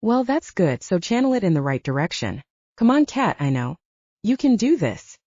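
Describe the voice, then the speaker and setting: A cocky male soldier, played by a woman narrator, playful and pragmatic in an audiobook drama. He banters and coaxes casually with very animated pitch and a warm, dark tone. The recording is slightly dull. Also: moderate pace, no room sound. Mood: coaxing.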